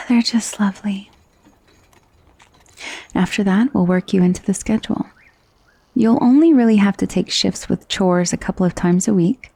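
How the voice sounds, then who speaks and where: Casting: actress for gentle vampire lady in ASMR roleplay; creaky, soft-spoken, soothing, genteel, very close and dry sound. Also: medium pitch, moderate pace, pauses often, dry recording. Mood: warm, welcoming. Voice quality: soft, breathy, often dropping to a whisper. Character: gentle, coaxing female vampire mistress of a mansion.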